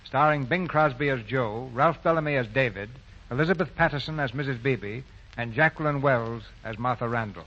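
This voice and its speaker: A man narrating formally and with measured drama as host of a scripted 1940s radio program. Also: medium pitch, background noise. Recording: muffled 1940 radio recording over music.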